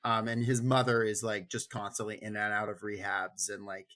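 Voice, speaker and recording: A man's voice: on the low side, level tone, moderate pace, pushed and bright. A man co-hosting a podcast in casual book discussion, jokey and profane, often slipping into acted-out quotes. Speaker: podcast co-host.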